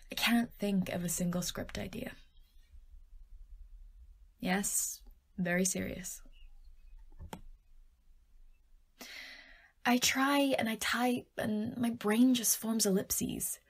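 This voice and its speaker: A woman playing a playful, self-deprecating scriptwriter girlfriend in an intimate audio roleplay, with whiny, theatrical pleading that often drops into murmurs. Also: mid-range voice. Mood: mopey.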